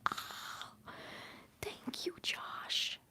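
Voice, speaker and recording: A young woman hosting a livestream, whispering softly as she rambles through chat messages and answers viewers fondly, holding back tears. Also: very slow. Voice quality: soft whispering voice. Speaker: livestream host.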